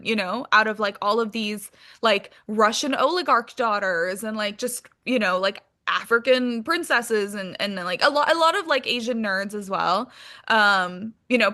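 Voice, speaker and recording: Bright, high-voiced woman chatting casually. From Hong Kong and England, born in US. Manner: animated, self-deprecating, informal storytelling. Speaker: interview guest, actress. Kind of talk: casual interview chat.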